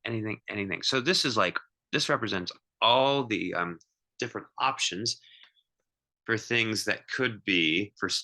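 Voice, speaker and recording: A male math teacher with a low-pitched voice and a very bright, forceful edge. He explains step by step in an informal lecture style, pausing, restarting and repeating phrases as he thinks aloud.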